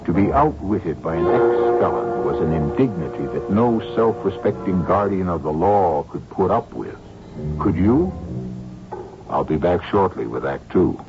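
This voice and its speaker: A man's voice: low voice, expressive, measured pace. A man hosting a radio mystery series with wry, theatrical scripted narration. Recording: dull old radio broadcast, music behind.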